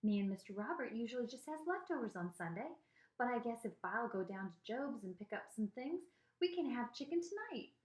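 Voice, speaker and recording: A woman's voice: on the high side, big pitch swings, brisk, pitched above the performer's own voice. A woman voicing a feisty, doting old Southern grandmother in a story, folksy, bossy and affectionate, with a Southern US accent. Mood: busy, brisk. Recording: echoey room.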